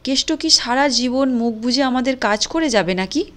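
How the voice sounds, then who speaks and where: A woman's voice: high voice, animated, normal speed. An actress playing a caring middle-aged housewife in a cartoon moral story, earnest and persuasive in her talk. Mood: insistent. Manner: earnest, coaxing, insistent.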